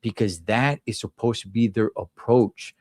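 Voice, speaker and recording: A man's voice: on the low side, steady tone, moderate pace, darkish tone, no room sound, clean studio sound. A man giving emphatic, opinionated views in a casual panel chat, often repeating words as he goes.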